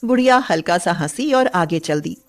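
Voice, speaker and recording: A woman's voice: on the low side, expressive, moderate pace. A woman narrating a scripted bedtime story as a storyteller.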